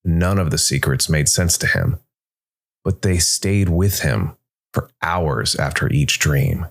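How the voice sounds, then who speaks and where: A man's voice: very deep voice, slow, vocal fry. A man hosting a podcast and reading scripted story narration.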